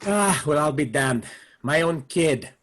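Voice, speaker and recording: Guy playing a grumpy dad, husky voice, pitch jumps all over, stage play reading. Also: expressive, unhurried, a bit bright. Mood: bitter, worried. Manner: grumbling, exasperated. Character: grumpy, worried, cynical Filipino immigrant father and husband.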